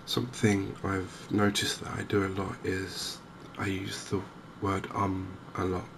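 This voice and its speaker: Slow, low-voiced man murmuring gaming commentary. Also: monotone. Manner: hesitant, unhurried, rambling. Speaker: gaming video host. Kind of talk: softly spoken ASMR gaming commentary. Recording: clear game ambience noise behind voice. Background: outdoor wildlife ambience from a fishing game.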